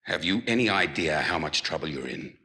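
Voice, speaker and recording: Deep, raspy police interrogator. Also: male voice, lively intonation, a little fast, bright, forceful tone, full character voice. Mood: stern, deadpan. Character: stern, deadpan male police officer interrogator. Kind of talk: science fiction television drama.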